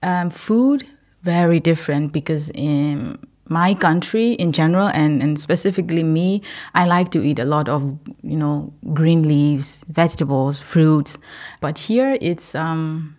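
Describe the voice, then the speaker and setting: A young woman from Myanmar, not a native English speaker, answering interview questions as a PhD student, thoughtful and laughing softly between phrases. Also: sits low, a little slow. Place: indoor podcast recording.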